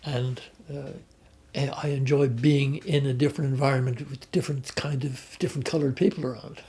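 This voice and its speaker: Gravelly older Irish man, reminiscing. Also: medium pitch, steady tone, normal speed, mellow. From Ireland. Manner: hesitant, with many restarts and fillers. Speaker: interviewee. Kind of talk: reflective conversational storytelling.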